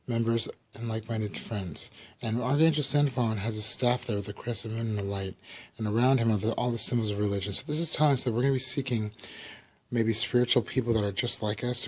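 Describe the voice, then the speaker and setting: A man with a slightly raspy voice, casually talking through a weekly tarot reading with loose, rambling explanations. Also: fairly low pitch, fairly bright tone. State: slightly raspy, under the weather. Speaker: tarot reader.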